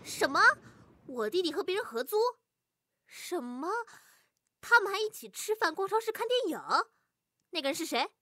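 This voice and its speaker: A woman playing an excitable, scheming older sister who pries into her brother's life, theatrical, in an audio drama. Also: extremely high pitch, normal speed, long pauses, no echo. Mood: shocked, prying. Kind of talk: Chinese audio drama acting.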